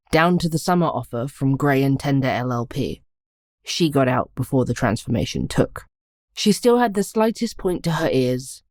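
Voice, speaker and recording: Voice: mid-range voice, darkish tone, dry recording, studio quality. A narrator reading literary fiction aloud in an audiobook style, addressing the listener directly. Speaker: narrator of a short story podcast.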